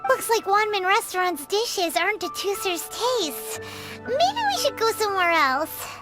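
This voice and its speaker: Tiny cheeky fairy companion for a game, extremely high and squeaky, chirpy, over loud music. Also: female voice, very animated, a little slow, exaggerated voice. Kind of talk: English game dub voice acting.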